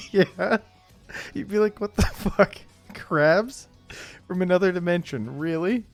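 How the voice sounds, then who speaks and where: A man hosting a livestream, casually and haltingly improvising a text adventure with amused asides. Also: high-pitched, expressive, soft background music. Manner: amused, laughs easily, pieces sentences together haltingly. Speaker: livestream host playing a text adventure game.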